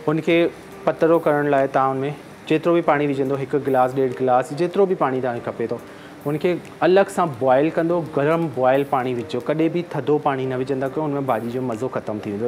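A man's voice: mid-range voice, a little mellow, slight background noise. A man, explaining a recipe step by step as a TV cooking show chef in a studio kitchen. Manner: instructive, repeats steps, switches between Urdu and Sindhi. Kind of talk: cooking demonstration.

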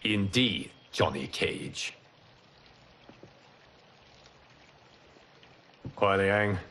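A solemn god of fire. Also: male voice, on the low side, sharp tone. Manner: measured, formal, commanding. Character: solemn male fire god, wise mentor. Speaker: protector and leader. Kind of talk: video game cutscene acting.

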